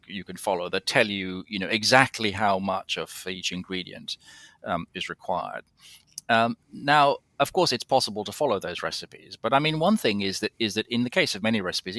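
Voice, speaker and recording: A man's voice: on the low side, sharp tone, no room sound. A British man speaking as an interview guest in a conversational philosophical discussion, with hesitant, stammering restarts. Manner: hesitant, stammering restarts, explains through everyday examples.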